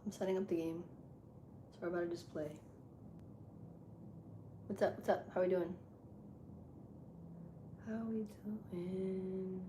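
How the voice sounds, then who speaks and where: A woman live streamer with a deep voice, chatting casually with her viewers while she sets up a game. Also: fairly even intonation, unhurried, pauses often. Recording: echoey room with loud background noise.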